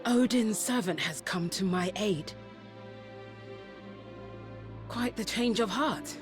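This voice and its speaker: Rival warrior played by a woman: proud, wounded, deliberate, mid-range voice, very loud game score. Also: mid-range voice, natural voice. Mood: suspicious. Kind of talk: dramatic video game acting. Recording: very loud music over the voice.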